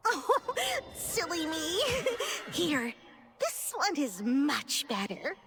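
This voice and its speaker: Tiny fussy fae woman, very high-pitched, slow, eager to please, over loud score. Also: big pitch swings, over loud music, full character voice. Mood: flustered.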